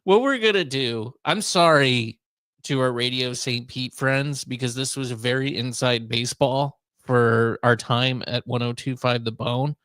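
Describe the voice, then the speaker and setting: A man's voice: mid-range voice, measured pace, fairly bright tone, dry recording. An American man hosting a casual talk radio show, speaking in an easygoing, informal way.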